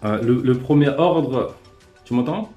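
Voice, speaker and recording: A man hosting a calm live-stream debate, reasoning aloud in a hesitant way with many fillers and restarts. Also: mid-range voice, measured pace, lo-fi sound, soft background music. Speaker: host. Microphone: remote live-stream call.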